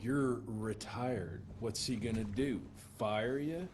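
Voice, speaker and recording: A man's voice: mid-range voice, takes it slowly, natural voice. Man playing cocky young American monster hunter. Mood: cocky, mocking. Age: young adult. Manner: dry, cocky. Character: cocky young male vampire hunter, dry and tough. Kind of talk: video game cutscene acting. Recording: noisy effects and ambience behind.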